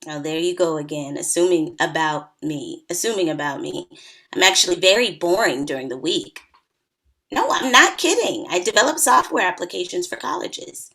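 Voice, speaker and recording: A woman's voice: on the low side, lively intonation, moderate pace, sharp tone. A woman acting a sardonic, confident party-loving wedding guest in a screenplay table read. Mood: teasing, frank.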